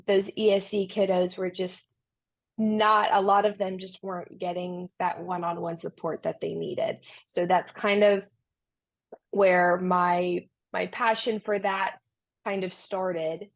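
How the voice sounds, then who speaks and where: A young adult woman from Romania, a tutoring business founder, answering interview questions in an earnest way with many restarts. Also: lowish voice. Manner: earnest, with frequent restarts and fillers.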